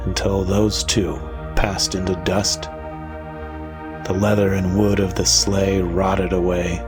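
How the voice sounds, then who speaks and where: Audio drama storyteller: low, grave, measured and unhurried, reading a melancholy tale. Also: male voice. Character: somber storyteller narrating a bleak, mournful Christmas tale. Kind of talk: scripted audio drama narration.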